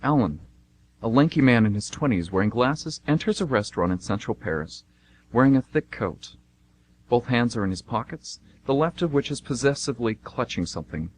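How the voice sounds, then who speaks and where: Mid-pitched male radio host and narrator. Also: expressive, fairly quick. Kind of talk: hosting and reading scripted narration.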